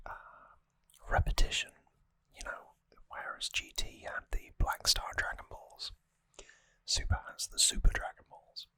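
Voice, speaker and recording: ASMR host: fully whispered, bright and breathy delivery, musing trivia commentary, close dry mic. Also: measured pace, dry recording. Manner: musing, conversational trivia talk. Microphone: close microphone, dry sound.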